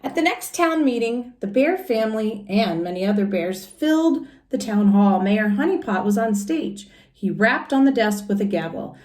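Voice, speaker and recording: A woman's voice: on the high side, animated, normal speed, hardly any pauses, a little mellow, echoey room. A woman reading a children's storybook aloud like a teacher, adding simple explanations and questions for young listeners.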